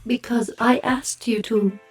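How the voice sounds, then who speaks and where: A woman acting a cold, commanding figure in an audio drama, speaking deliberately. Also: a little high, steady tone, soft background music, natural voice.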